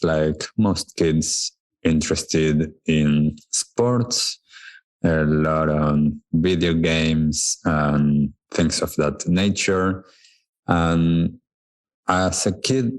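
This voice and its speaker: Man, guest, from Argentina, unhurried, thoughtful, deep voice. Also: dry recording. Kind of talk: reflective conversational storytelling.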